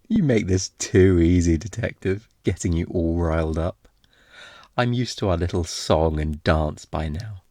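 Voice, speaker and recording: A man acting a deep-voiced, smugly flirty thief. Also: darkish tone, no room sound, clean studio sound. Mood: smug, seductive. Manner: playful, theatrical, drawn-out teasing with breathy murmurs. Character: obnoxiously flirty, smug male thief, teasing and unrepentant. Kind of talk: intimate ASMR roleplay acting.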